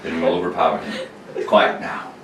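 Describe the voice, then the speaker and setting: A man's voice: low-pitched, little pitch movement, moderate pace, pitched below the performer's own voice, close to an everyday voice. A man reading the part of a heroic young male space adventurer in a hammy table read of an old radio serial, with an earnest, square-jawed delivery. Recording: roomy, distant, noisy mic.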